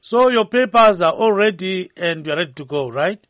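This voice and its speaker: A man's voice: high voice, animated, fairly quick, fairly bright tone, slight background noise. A man with a Zimbabwean accent co-hosting a live talk show, presenting and reading out messages in English and Ndebele. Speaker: radio host.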